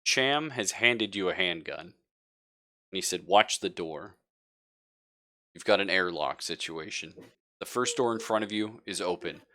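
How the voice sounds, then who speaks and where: A man's voice: deep voice, lively intonation, a little slow, pauses often, sharp tone. A man narrating a tabletop roleplaying game as game master, casual and joking as he improvises.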